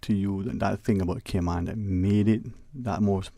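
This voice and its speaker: A man's voice: low voice, steady tone, moderate pace, vocal fry. A man hosting a radio talk show, chatting casually in a rambling way with guests in the studio. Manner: rambling, restarts phrases, warm banter. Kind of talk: casual interview chat.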